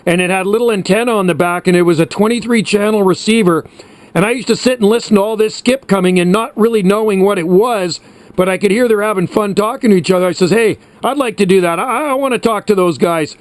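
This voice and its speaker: A man around sixty with a fairly high voice, recorded close and dry. He talks in a casual, chatty, reminiscing way, like a radio hobbyist in conversation. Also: fast, no echo. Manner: chatty, reminiscing, uses radio sign-offs. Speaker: amateur CB radio operator.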